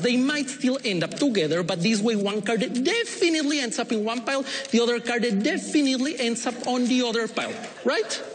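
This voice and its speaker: Playful magician with a Latin American accent. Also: male voice, extremely high pitch. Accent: Latin American Spanish. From Ecuador. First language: Spanish. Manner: deliberate, playful, polite, with pointed pauses. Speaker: stage magician. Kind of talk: stage magic patter to volunteers. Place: TV show stage in a large hall. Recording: echoey stage hall with noise.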